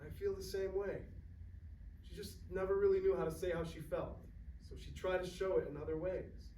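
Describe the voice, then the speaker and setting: A man playing a gentle, sentimental grandfather in a staged reading, reflective and halting as he speaks. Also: high voice, level tone, lightly acted. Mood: wistful, tender. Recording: echoey room, distant mic, faint noise.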